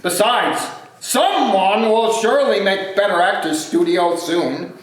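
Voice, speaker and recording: Man as a very high-voiced genteel charity gentleman. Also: unhurried. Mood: polite, conceding. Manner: florid, formal, polite. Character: portly, genteel, ingratiating male charity collector. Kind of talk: amateur comic dramatic play reading. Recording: cavernous echoey room, faint background noise.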